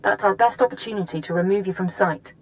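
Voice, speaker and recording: An actress playing a businesslike intelligence handler in a spy game, briefing in a measured, precise, familiar way. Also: female voice, medium pitch, close to an everyday voice, rough recording. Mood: focused, brisk. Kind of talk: video game drama acting.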